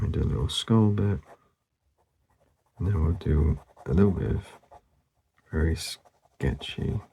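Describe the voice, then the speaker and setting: A man narrating casually as he draws, laid-back and murmuring, with a husky, gravelly voice that often trails off. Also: deep voice, warm tone. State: losing his voice, hoarse. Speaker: artist hosting a drawing channel. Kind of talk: casual narration while drawing.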